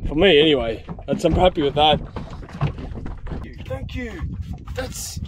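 Clear noise from open water surrounds a young man with an Australian accent and a noticeably high voice. He talks casually to his viewers as a fishing vlogger, in a colloquial, laid-back way. The sound is that of a camera out on a small boat. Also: very animated, a little slow. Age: young adult. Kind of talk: casual vlog commentary to viewers. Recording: noisy outdoor recording on open water.

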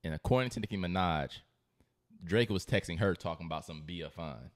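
Young American male podcast host, low register, insistent, conversational debater. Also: brisk. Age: young adult. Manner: insistent, repeats his points. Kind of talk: casual debate among friends. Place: living room, on a couch. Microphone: podcast microphone on a stand, close.

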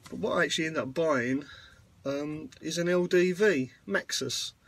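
Chatty man with a lightly raised pitch. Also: moderate pace, a bit bright, slightly rough. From United Kingdom. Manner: chatty, rambling, plain-spoken with fillers. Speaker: vlogger. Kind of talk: casual walkaround vlog.

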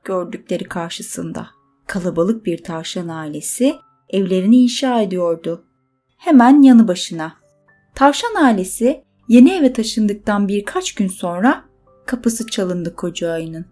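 A woman narrating a scripted children's bedtime story expressively, with dramatic pauses. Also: mid-range voice, animated, dark tone, soft background music.

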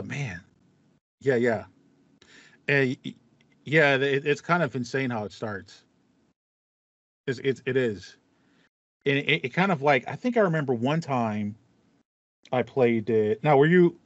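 A man in his thirties hosting a casual gaming podcast, telling stories in an animated manner. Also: medium pitch, moderate pace, long pauses, dry recording. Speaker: podcast host. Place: small home room with foam panels. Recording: dull, lo-fi dry audio.

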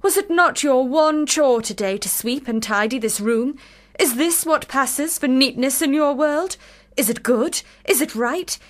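An actress playing a stern, commanding, sardonic Victorian elder sister who preaches and cross-examines, in a period radio drama. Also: female voice, sits high, unhurried. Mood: stern reproach. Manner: preachy, exacting, rhetorical questions.

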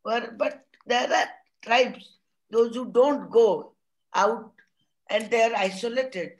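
High-voiced Indian woman presenting. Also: poor audio. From Odisha, India. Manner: earnest, explanatory, pauses mid-sentence. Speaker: conference presenter. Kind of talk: academic talk on a video call. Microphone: video call.

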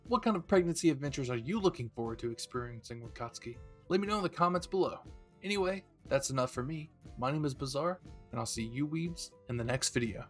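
A man's voice: medium pitch, expressive, quick pace, faint music behind. A man hosting his audio roleplay channel, playfully addressing fans in a scripted, upbeat outro. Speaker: online audio roleplay creator, host.

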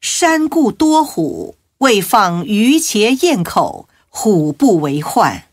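Very slow, expressive female narrator. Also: medium pitch, dry recording, clean studio sound. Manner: measured, expressive recitation. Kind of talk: solemn scripted narration of classical religious biography.

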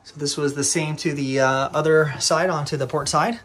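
Man touring a yacht, fairly high voice. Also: fairly even intonation, moderate pace, background noise. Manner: casual, lots of uh fillers, listing features. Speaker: yacht broker. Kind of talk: walkthrough tour narration. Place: inside a yacht cabin, small wooden rooms.

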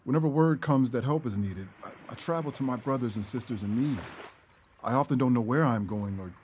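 A male actor playing an exhausted, kindly village healer who survived a shipwreck, humble and halting, in an audio drama. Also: mid-range voice, a little mellow, slight background noise, lightly acted.

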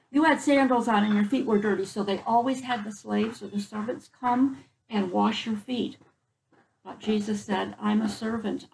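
An older woman reads a children's picture book aloud on a front porch. Her voice sits a little high and has a noticeable creak. She pauses to explain things simply, with faint outdoor noise in the recording. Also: darkish tone, low-quality recording. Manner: explanatory asides in simple words. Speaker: children's story reader. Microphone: camera a few feet away.

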